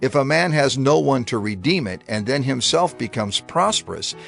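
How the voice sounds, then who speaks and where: A man reads scripture aloud in a measured, declamatory way, his pitch rising and falling expressively. Clear music plays underneath the whole reading. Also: animated, fairly bright tone. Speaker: scripture reader.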